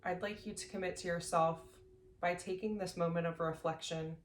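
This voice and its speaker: A woman leading a guided sleep meditation as an instructor, speaking gently in slow, measured phrases with long pauses. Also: on the low side, fairly even intonation, slight background noise. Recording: roomy echo, faint music behind.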